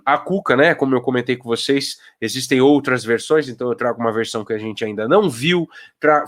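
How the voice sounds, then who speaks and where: A man from São Paulo with a Paulista accent, talking casually on a live video call as a children's author, full of rhetorical questions. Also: medium pitch. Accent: Paulista Brazilian Portuguese. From São Paulo, Brazil. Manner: conversational, asks rhetorical questions, briefly voices old folks.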